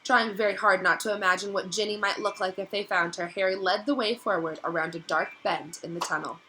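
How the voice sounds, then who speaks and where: Bright-voiced woman reading a story aloud. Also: medium pitch, fairly even intonation, a little fast, a little hiss or hum, low-quality recording. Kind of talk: expressive read-aloud narration.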